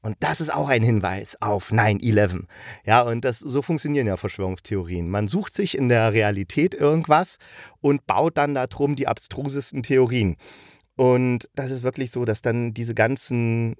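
A man's voice: mid-range voice, normal speed, no echo, clean studio sound. A man hosting a podcast, giving a casual, unscripted review in a rambling, colloquial and enthusiastic way, jumping between asides. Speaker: podcast host reviewing audio dramas.

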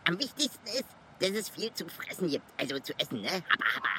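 A man playing a greedy, scornful, excitable fox in a children's audio play. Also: sits high, quick pace, noisy background. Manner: mocking, excitable.

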